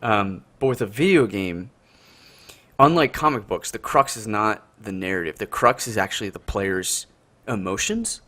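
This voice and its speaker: A man's voice: on the low side, lively intonation, moderate pace, pushed and bright. Thoughtful North American man explaining ideas. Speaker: podcast co-host. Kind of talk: casual analytical discussion.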